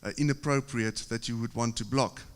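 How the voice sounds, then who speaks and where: A man from South Africa with a South African accent, giving a technical conference presentation at a podium with steady explanations and occasional filler pauses. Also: mid-range voice. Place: large conference hall. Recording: reverberant conference hall.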